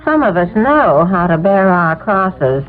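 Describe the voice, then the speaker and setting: A woman's voice: on the low side, very animated, unhurried, narrow, tinny audio. An actress playing a self-pitying, complaining old American mother-in-law in a 1970s radio drama. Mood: peevish, wounded. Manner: indignant, complaining. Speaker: radio actor. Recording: thin, noisy old radio broadcast.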